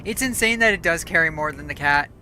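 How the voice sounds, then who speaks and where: A woman's voice: low-pitched, expressive, fast talker, bright, forceful tone, a little hiss or hum. A woman streaming a game, chatting casually and rambling, correcting herself as she goes, her voice starting to go. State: losing her voice. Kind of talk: casual live gameplay chat.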